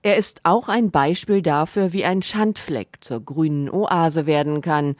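A man reporting in calm, descriptive scripted narration. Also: fairly high pitch, measured pace, no room sound, clean studio sound.

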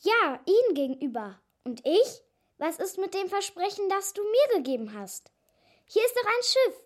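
A woman's voice: extremely high pitch, big pitch swings, normal speed. A woman playing a plucky, stubborn young girl in a children's radio play, eager and insistent in manner. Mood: pleading, insistent.

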